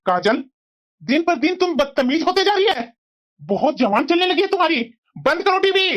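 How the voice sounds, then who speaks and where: A performer voicing a devoted adult son and stern husband in a family cartoon, with plain, drawn-out delivery. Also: very high voice, sing-song, background noise. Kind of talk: Hindi family moral-story cartoon voice acting.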